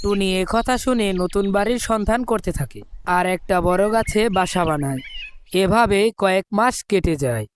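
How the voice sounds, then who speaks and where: A woman's voice: mid-range voice, normal speed, slight background noise. A woman narrating a scripted children's cartoon story as the storyteller.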